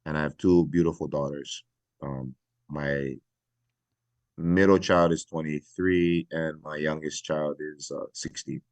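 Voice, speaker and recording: Very deep, flat-voiced retired fire chief. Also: male voice, no room sound. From Hawaii. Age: middle-aged. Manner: earnest, reflective, many pauses and fillers. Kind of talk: podcast interview.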